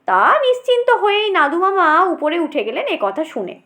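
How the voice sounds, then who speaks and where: A woman's voice: very high-pitched, wildly swooping pitch, moderate pace, bright, forceful tone. A woman narrating a humorous short story expressively, as an audiobook storyteller.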